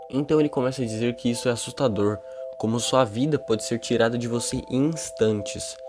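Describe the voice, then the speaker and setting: A man with a Brazilian accent narrating and explaining a horror video in an informal way. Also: fairly low pitch. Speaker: YouTube narrator. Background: music.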